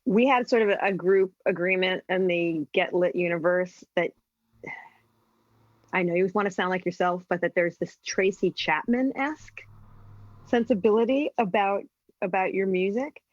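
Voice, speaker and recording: A woman's voice: medium pitch, moderate pace, no room sound, lo-fi sound. A woman hosting an interview, asking looping questions and murmuring "mm-hmm" while listening. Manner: warm back-channel "mm-hmm", rambling questions. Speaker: radio host.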